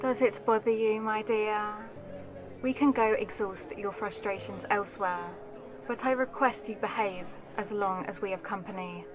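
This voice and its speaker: A woman's voice: medium pitch, moderate pace, music in the background, lightly acted. A woman playing an aristocratic elder vampire in an ASMR audio roleplay, composed and commanding, with formal, condescending poise.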